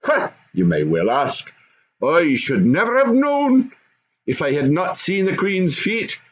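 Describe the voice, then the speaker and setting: A man's voice: high voice, huge pitch swings, slow, lo-fi sound, voice pushed up, heavily acted. A man voicing a gloating, bossy goblin father and husband, emphatic and knowing, in a storybook reading.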